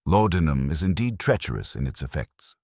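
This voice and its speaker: Man reading a guarded doctor, clinical and measured, very deep voice. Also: very animated, darkish tone, no echo, clean studio sound, exaggerated voice. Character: composed, guarded male doctor.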